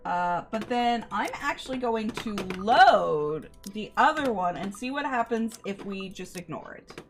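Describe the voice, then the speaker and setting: A woman's voice: mid-range voice, lively intonation, unhurried, slight background noise. A woman livestreamer reading a visual novel aloud expressively, sometimes stumbling over lines and chatting between passages. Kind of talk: dramatic read-aloud mixed with livestream chat.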